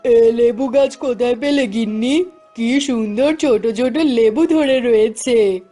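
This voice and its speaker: A woman's voice: on the high side, unhurried, warm tone, faint music behind. A woman voicing a poor, weary male village grocer in a cartoon.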